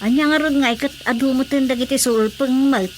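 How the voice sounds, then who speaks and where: A woman's voice: high-pitched, fairly even intonation, brisk, a little hiss or hum, close to an everyday voice. An actress playing a concerned, practical fisherman's wife in a radio drama, anxious and homely.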